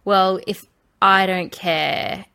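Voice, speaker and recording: A woman's voice: medium pitch, fairly even intonation, drawn-out words, vocal fry, pushed and bright, clear, resonant tone. A woman with an Australian accent co-hosting a podcast in casual chat, blunt and sweary. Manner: blunt, swears, laughs easily. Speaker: podcast co-host.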